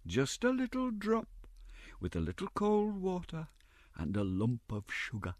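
A man voicing a fawning, scheming middle-aged woman nurse with a shrill, gushing, wheedling manner. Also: wildly swooping pitch, measured pace, a little mellow, no echo, studio quality.

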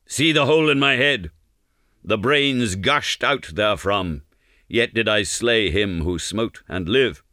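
Man playing a fierce, boastful old warrior, bright-voiced. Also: fairly low pitch, lively intonation, unhurried, no echo, clean studio sound, full character voice. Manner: declamatory archaic speech, boastful. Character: aging fierce Zulu warrior, boastful, bloodthirsty, grandly proud.

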